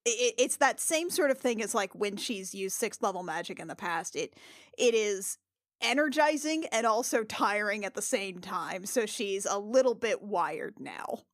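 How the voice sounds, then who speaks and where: A woman's voice: medium pitch, lively intonation, measured pace, no echo, clean studio sound. A woman roleplaying casually as a tabletop game player, choosing her words with thoughtful hesitation.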